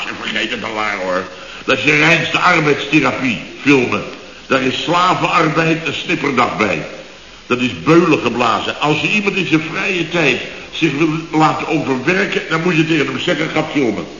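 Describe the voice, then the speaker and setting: An actor playing a grumbling, exasperated middle-aged contractor who rants sarcastically in clipped half-sentences in a radio comedy. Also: male voice, very animated, moderate pace, bright, forceful tone, roomy sound, in a large hall, slight background noise. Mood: indignant. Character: grumbling, exasperated male building contractor, long-winded storyteller. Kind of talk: 1970s radio comedy acting. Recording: boomy, muffled old radio recording.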